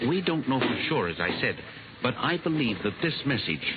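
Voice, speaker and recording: A man's voice: mid-range voice, big pitch swings, brisk, narrow, tinny audio, lightly acted. Man playing a fussy, timid clerk on noisy old radio. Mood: polite, earnest. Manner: hesitant, stammering, deferential. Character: earnest, timid, fussy male records clerk with theories. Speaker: actor. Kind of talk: 1950s radio drama acting.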